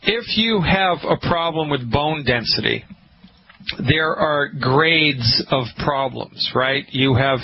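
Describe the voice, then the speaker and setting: A man with a Boston accent hosting a call-in health radio show, talking in a folksy, emphatic way and repeating his points. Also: medium pitch. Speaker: radio show host, naturopath. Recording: dull lo-fi radio broadcast with faint hiss.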